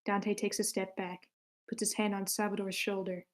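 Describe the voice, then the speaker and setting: A woman's voice: medium pitch, level tone, moderate pace. A woman narrating the stage directions of a screenplay table read in short, matter-of-fact sentences.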